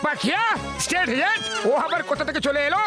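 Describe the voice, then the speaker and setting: A man playing an excitable, hapless cartoon homeowner, loud, exclamatory and complaining. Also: very high voice, fast. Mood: indignant. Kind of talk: cartoon dubbing. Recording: loud music behind the voice.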